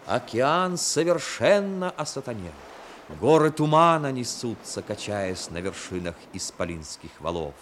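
A man narrating a story in a measured, expressive, dramatic style, as narrator of a 1960s radio play. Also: fairly low pitch, slight background noise. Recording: 1960s radio recording with music.

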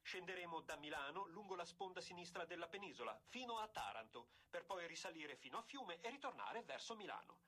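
An actor playing an emphatic 1920s radio commentator who announces a bicycle race in a formal, declamatory way. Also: male voice, a little high, expressive, fairly quick, hardly any pauses, sharp tone, slightly rough, full character voice. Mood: proud, announcing. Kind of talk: period sports commentary, theatrical radio acting.

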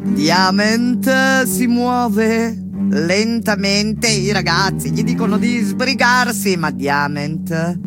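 Loud music surrounds a female resistance fighter giving grave, intense testimony in a stage drama. She speaks slowly and deliberately. Her delivery is solemn and declamatory, at an ordinary female pitch. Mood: grave, restrained. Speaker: actress narrating. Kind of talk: dramatic theatrical narration of a text set to music. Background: loud instrumental music.

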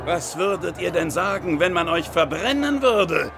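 A man's voice: sits high, lively intonation, unhurried, gravelly, noisy background, heavily acted. An actor in a radio play voicing a bitter, fervent male preacher who declaims and provokes. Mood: mocking, provoking.

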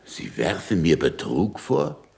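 An actor playing an earnest astronomer in a 1960s German radio play, speaking in a formal, deliberate and emphatic way. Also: male voice, rumbling low, wildly swooping pitch, vocal fry, darkish tone, reverberant, heavily acted. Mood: insistent.

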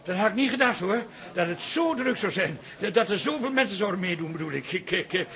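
Bright, high-voiced flustered man. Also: expressive, brisk. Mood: flustered, nervous. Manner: stammering, hesitant. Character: flustered, self-important male café owner. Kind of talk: comic radio drama.

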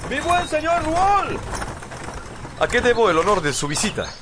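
An actor playing a polite, grieving widowed country doctor in a radio drama. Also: male voice, extremely high pitch, huge pitch swings, unhurried, background noise, full character voice. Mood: polite, emotional.

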